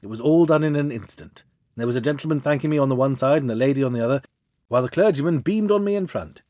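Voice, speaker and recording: A man giving an expressive, theatrical reading of a classic detective story as an audiobook. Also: mid-range voice, fast, no echo, studio quality. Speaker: audiobook reader. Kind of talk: dramatic storybook narration of a classic detective tale.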